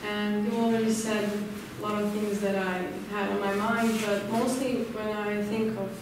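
A teenage girl from Bosnia answers softly and quickly in a flat voice. She is heard from far off in a very echoey room. Noise sits underneath. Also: mid-range voice. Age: teens. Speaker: student.

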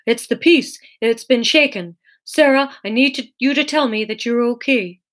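A firm, concerned holy man in a comic storybook, voiced by a woman reader. He speaks earnestly, in a raised, bright voice, as a keeper of peace. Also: on the high side, moderate pace, pitched above the performer's own voice, lightly acted. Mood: firm, urgent.

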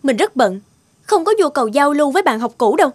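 A woman's voice: high-pitched, sing-song, quick pace, no room sound. An actress playing a bitter, wounded grown woman out for revenge in a cartoon, speaking with dramatic resentment. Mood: cold. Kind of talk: cartoon dubbing.

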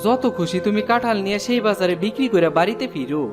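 Male actor as helpful village woodcutter, rural Bangladeshi accent, earnest, folksy, cartoon dub, loud music bed. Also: high-pitched, expressive, fast. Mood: kindly, insistent. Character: kind, helpful village woodcutter, a family man. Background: prominent music.

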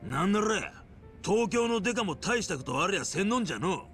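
A male actor playing a brash, cocky yakuza gangster in a video game, swaggering in rough Hiroshima dialect. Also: high voice, very animated, fairly quick, fairly bright tone, faint music behind. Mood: boastful, needling. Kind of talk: dramatic video game acting.

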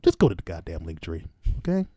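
A man's voice: low voice, wildly swooping pitch, quick pace, mellow, no echo. A man hosting a podcast in casual, joking group chat, on a close mic. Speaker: podcast host. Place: small room at home, indoors.

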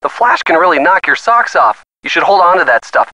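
A man's voice: sits high, sing-song, brisk, sharp tone, no room sound, heavily acted. A man playing a supportive, easygoing young boyfriend with a wry, teasing manner in a detective video game. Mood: playful, encouraging. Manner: teasing, encouraging. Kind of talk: video game dialogue acting, phone conversation.

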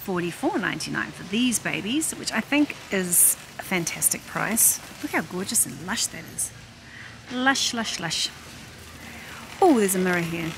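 A woman, chatting to the camera while shopping and reading out prices as she goes. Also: lowish voice. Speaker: vlogger. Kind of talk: shop-with-me vlog narration. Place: large warehouse store, indoors. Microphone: handheld phone camera. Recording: noisy warehouse store ambience. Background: store ambience.

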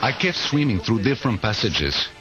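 A man's voice: on the low side, big pitch swings, normal speed, rough recording. A man giving a voice-over translation of a first-person account, in a dramatic storytelling style. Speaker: English voice-over translating an interviewee. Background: loud music.

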